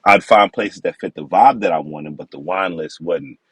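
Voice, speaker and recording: Deep-voiced man, relaxed interview talk, dry close sound. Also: moderate pace, slightly rough, dry recording. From the Bronx, New York. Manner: relaxed, conversational, slang-flavored. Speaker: podcast guest, wine expert.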